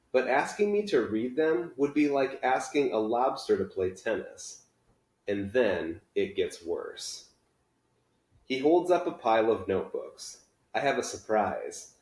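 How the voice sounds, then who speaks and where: A man's voice: mid-range voice, darkish tone. A man reading a children's book aloud in a dramatic, storytelling manner. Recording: echoey room.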